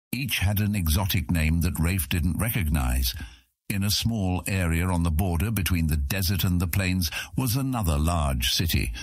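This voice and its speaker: Husky, very low-pitched male fantasy narrator. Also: no room sound, studio quality. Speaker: audiobook narrator. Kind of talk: scripted fantasy story narration.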